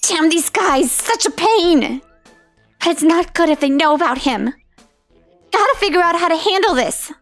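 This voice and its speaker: A woman's voice: very high-pitched, expressive, normal speed, a bit bright, dry recording, faint music behind, exaggerated voice. A woman voicing a brash, streetwise delinquent schoolgirl who leads a gang, in a manga voice-over. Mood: irritated. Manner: blunt, streetwise, tough talk. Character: tough delinquent schoolgirl gang chief, brash, secretly smitten.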